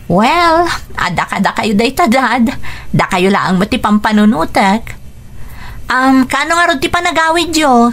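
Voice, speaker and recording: An actress playing an affectionate, teasing young daughter in a radio drama, speaking with an Ilocano accent. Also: female voice, fairly high pitch, expressive, unhurried, a bit bright. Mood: concerned, surprised. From Philippines.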